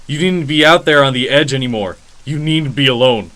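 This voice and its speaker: An actor playing a grim, earnest vigilante superhero in a radio drama, speaking sternly and deliberately with intensity. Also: male voice, mid-range voice, pushed and bright, lightly acted. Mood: earnest pleading.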